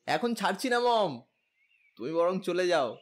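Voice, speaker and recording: A lovestruck young husband played by a man in a romantic audio drama. His voice is fairly high and moves up and down a lot. He is cheeky, stubborn and playful, always ready to plead. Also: sing-song, unhurried. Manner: pleading, playful, whiny with elders. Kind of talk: Bengali audio drama acting.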